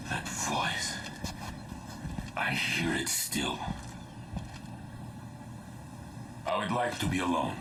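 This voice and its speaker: An actor playing a troubled, solemn robot warrior in a video game, halting and grave, doubting his own existence. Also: sits low, gravelly, noisy background, exaggerated voice. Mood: despairing. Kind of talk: video game cutscene acting. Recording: game audio under loud music.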